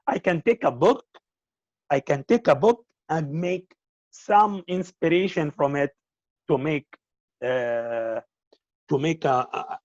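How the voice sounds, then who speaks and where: Halting man, native Arabic speaker. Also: fairly high pitch, darkish tone, no room sound, lo-fi sound. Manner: halting, repeats words while searching for them. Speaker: filmmaker. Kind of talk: interview answers.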